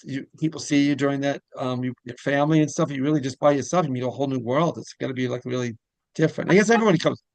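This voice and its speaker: A man interviewer talks very quickly in a creaky, close and dry-sounding voice. He chats casually, rambling and circling back to rephrase what he asks. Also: medium pitch, no echo. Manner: rambling, repeats and rephrases questions. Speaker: interviewer, show host.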